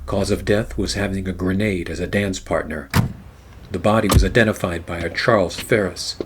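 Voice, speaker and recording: A man playing a weary, wry 1940s private eye in a noir radio play, wisecracking and narrating in a deadpan manner. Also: low-pitched, normal speed, background noise, natural voice.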